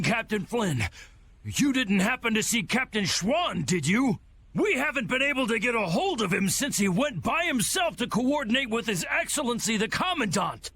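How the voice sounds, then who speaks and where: A pompous knight officer in a video game, blustering and indignant. His voice is high and gravelly, with a raspy edge, and his delivery swings wide and lively. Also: exaggerated voice. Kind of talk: game voice acting.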